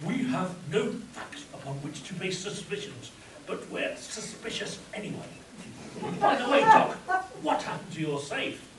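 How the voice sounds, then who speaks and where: Heard from a distance over crowd noise, a man acts a formal, inquisitive lawyer in a pulp radio spoof. His voice is high and animated, brisk with suspicion. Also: exaggerated voice. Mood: suspicious, inquiring. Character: Ham, brisk suspicious lawyer, male adventurer's aide. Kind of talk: spoof radio play acting. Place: convention stage before an audience. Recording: echoey room from afar, audience noise.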